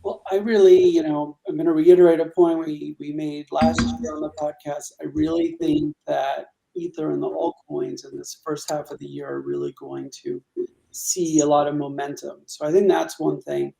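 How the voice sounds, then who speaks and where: Gravelly, dark-toned man with market views. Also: a little high, flat delivery, moderate pace, low-quality recording. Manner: opinionated, repeating "I think". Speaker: co-host, market commentator. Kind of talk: panel discussion.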